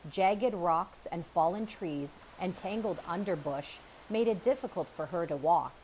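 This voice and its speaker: Woman doing kids story time, slow and expressive read aloud, bit of hiss in the background. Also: fairly low pitch, low-quality recording. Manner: expressive, measured storytelling with dramatic pauses. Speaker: storybook reader. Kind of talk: children's audiobook read-aloud, scripted narration.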